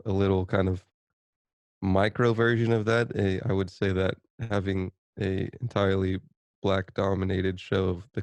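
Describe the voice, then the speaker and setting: Man, podcast co-host, deep-ish with vocal fry, dry close sound, hesitant, repetitive, casual swearing. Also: darkish tone, no room sound. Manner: hesitant, rambling, repeats himself. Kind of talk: casual chat.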